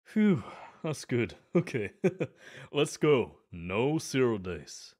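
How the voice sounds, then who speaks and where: A man's voice: mid-range voice, big pitch swings, very slow, darkish tone, full character voice. A man playing a friendly, eager gym bro in an ASMR roleplay, chatty and earnest as he explains the equipment.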